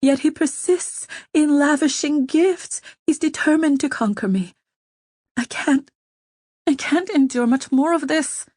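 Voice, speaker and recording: A frightened noblewoman pleading in trembling whispers, put on by a woman narrating an audiobook. The voice rides high and strained. The recording is dry and close. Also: measured pace, a little mellow, no room sound. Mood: desperate. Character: frightened, desperate noblewoman, grief-stricken and pleading. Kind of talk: audiobook reading.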